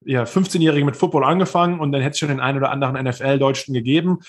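A man's voice: mid-range voice, rapid-fire. A man in his thirties, a former professional football player, telling his career story as an interview guest in long, rambling sentences with frequent hesitations.

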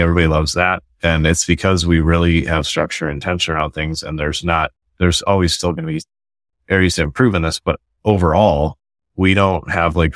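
A man's voice: sits low, dry recording, clean studio sound. A man, a guest author and company founder, explaining his ideas earnestly in a casual podcast conversation and often restarting his sentences. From United States.

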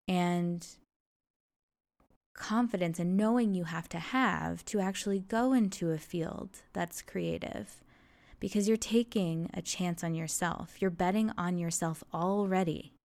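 A woman's voice: on the low side, steady tone, takes it slowly, a little mellow. A young adult woman hosting a solo podcast, talking in an earnest, encouraging way. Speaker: podcast host. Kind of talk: reflective solo podcast monologue.

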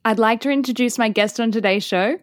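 A woman's voice: on the high side, a little fast, no room sound, studio quality. A woman hosting a podcast interview, chatty and friendly, laughing easily with her guest. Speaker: podcast host. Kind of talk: casual interview. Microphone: video call.

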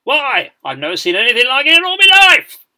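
A fierce British drillmaster of a sergeant major, acted out by a male storyteller, bellows his commands across the parade ground. The voice is piercingly bright and pushed up high, stern and booming in every word. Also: wildly swooping pitch, fairly quick, heavily acted. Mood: outraged. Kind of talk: dramatised storytelling.

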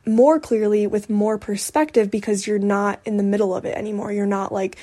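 A woman's voice: medium pitch, steady tone, a little fast, warm tone. A woman in her twenties hosting a solo podcast, talking casually in a candid, reflective way with lots of "like" as filler. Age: 20s. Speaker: podcast host. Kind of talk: casual solo podcast talk, personal update.

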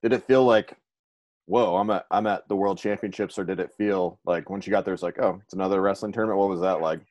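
A man's voice: low-pitched, steady tone, fast, darkish tone, dry recording. A man hosting a casual interview, joking and stumbling over his words as he asks questions. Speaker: interview host.

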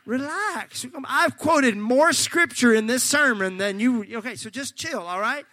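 A male pastor preaching a sermon, fervent and folksy, joking with the congregation and breaking into shouts. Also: very high voice, expressive, measured pace, dry recording. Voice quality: slightly gravelly.

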